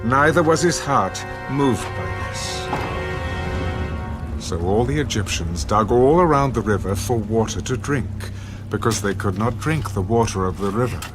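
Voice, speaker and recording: Male Bible narrator over loud music. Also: lowish voice, expressive, measured pace. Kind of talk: dramatised scripted Bible narration. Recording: prominent music under the voice. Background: loud background music.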